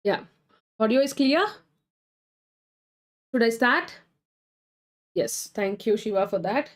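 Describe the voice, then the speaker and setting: A woman with an Indian English accent, suffering from a cold, teaching a live online medical exam class, answering viewers' comments between points briskly. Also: medium pitch, a little mellow. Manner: brisk, reads chat names and answers viewers. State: has a cold.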